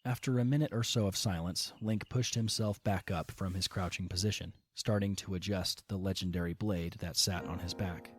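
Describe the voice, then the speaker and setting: A man narrating a fan audio drama in a calm, steady, scripted reading. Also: low-pitched, moderate pace, few pauses, slightly rough, no echo.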